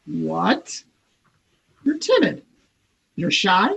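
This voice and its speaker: A male actor playing a weary, distraught old man in a radio drama, halting and husky. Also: high voice, very animated, a bit bright, a little hiss or hum, heavily acted. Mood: probing. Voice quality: gravelly, husky. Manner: halting, dramatic, muttering then crying out.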